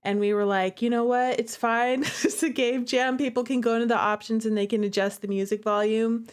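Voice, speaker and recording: A woman's voice: on the high side, fairly quick, smooth. A woman hosting a livestream, chatting casually and playfully to her viewers as she comments on a game. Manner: playful, animated, chatty, addressing viewers directly. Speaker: streamer and visual novel creator hosting a playthrough. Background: music playing behind the voice.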